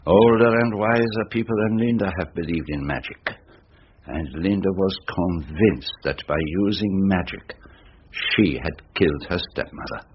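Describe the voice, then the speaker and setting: Deep-voiced, measured, self-assured detective. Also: male voice, slightly rough. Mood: explaining gravely. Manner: measured, deliberate, courteous, theatrical. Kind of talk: radio drama acting, explanatory monologue. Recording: dull lo-fi radio sound, noisy.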